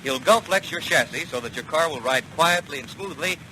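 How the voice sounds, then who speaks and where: A man's voice: fairly high pitch, lively intonation, fairly quick, pushed and bright. A man working as a commercial announcer, delivering a scripted 1940s radio advertisement. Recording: noisy 1940 radio broadcast.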